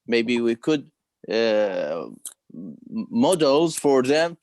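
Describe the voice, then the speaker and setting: A man's voice: medium pitch, big pitch swings, drawn-out words, a little mellow, no echo. A man in an online class, hesitant and searching for words.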